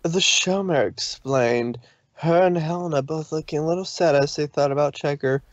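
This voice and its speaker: A woman's voice: deep voice, moderate pace, no room sound. Woman reading fan fiction, muffled dry mic. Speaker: fan fiction reader and host. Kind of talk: narrated story reading and casual chat. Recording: dull, dry lo-fi microphone.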